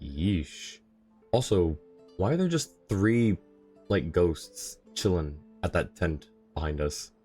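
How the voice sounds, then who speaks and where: Low voiced guy streaming a game, casual chat, bit of music behind him. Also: animated, slow pace, mellow, husky. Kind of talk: casual live-stream commentary. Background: video game music.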